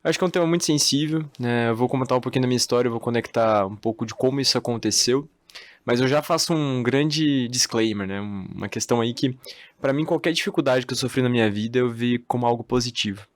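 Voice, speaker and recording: A young man from the Northeast of Brazil, a podcast guest telling his life story in relaxed conversation and laughing often. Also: medium pitch, quick pace, no echo. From Natal, Northeast Brazil.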